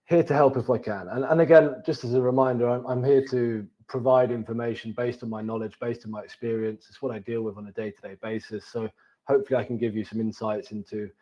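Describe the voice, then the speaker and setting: A man's voice: lowish voice, warm tone, highs cut off. A man with a southern English accent giving an informal webinar presentation in a conversational way, now and then repeating words as he goes. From Cambridge, England. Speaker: wealth manager presenting as guest. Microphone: online webinar call.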